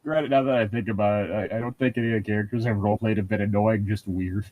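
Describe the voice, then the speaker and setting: A man's voice: lowish voice, steady tone, quick pace, darkish tone, low-quality recording. Casual man joking crudely. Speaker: co-host of a comedic read-along podcast. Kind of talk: casual banter between book readings.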